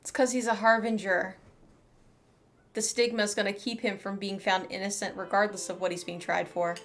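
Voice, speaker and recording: A woman's voice: mid-range voice, flat delivery, normal speed, fairly bright tone. A woman streamer giving casual, playful commentary as she plays through a game, in an informal way. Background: game music.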